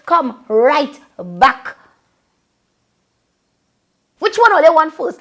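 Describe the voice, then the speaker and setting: A woman from Trinidad with a Trinidadian accent, ranting bluntly and profanely to camera in Creole-flavored casual talk. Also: sits high. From Trinidad and Tobago. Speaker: vlogger and longtime event host.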